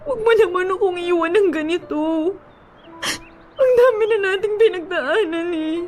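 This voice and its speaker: Young Filipina ex-girlfriend, very high voice, heartbroken, defiant, pleading; radio drama over music. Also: normal speed, a little mellow. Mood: tearful pleading. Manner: emotional, pleading, switches between Tagalog and English. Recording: music bed under the dialogue.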